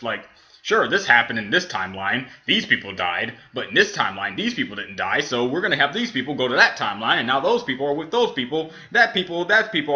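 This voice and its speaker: A man's voice: on the high side, bright, forceful tone, muffled recording. A man giving casual off-the-cuff reaction commentary, rambling and stumbling over his words as he thinks aloud. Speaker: reaction video host.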